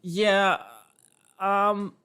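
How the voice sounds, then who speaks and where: A man co-hosting a casual podcast, giving measured, deliberate opinions. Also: high-pitched, little pitch movement, pushed and bright, clean tone. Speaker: podcast co-host.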